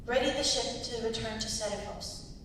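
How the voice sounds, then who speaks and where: A woman's voice: moderate pace, fairly bright tone, close to an everyday voice. A young woman playing a proud, guarded princess in a staged reading, firm and terse. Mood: curt, strained. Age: young adult. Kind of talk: staged dramatic script reading. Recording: very echoey hall, distant mic, hiss and rumble.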